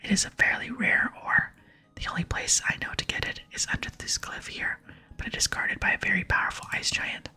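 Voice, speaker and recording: Whispering woman reading game dialogue, ASMR style. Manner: soft, slow, hushed reading. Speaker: ASMR gaming channel host.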